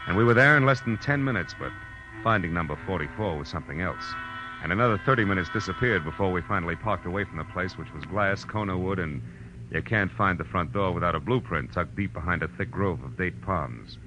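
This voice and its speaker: Wisecracking American gumshoe, deep and punchy, vintage radio drama, dull band-limited sound with music. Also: male voice, lively intonation, a little fast. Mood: wry, brisk. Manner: wry, punchy, tough. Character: wisecracking hard-boiled male private detective. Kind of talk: 1940s radio acting, first-person narration. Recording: muffled old radio broadcast with music.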